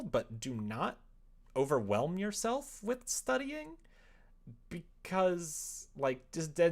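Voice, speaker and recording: A young adult man talks casually to his livestream chat while working at a computer. His delivery is halting and loose as he thinks aloud, often letting sentences trail off. His pitch sits in an ordinary male range. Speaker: livestreamer writing a visual novel. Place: small room at home, indoors.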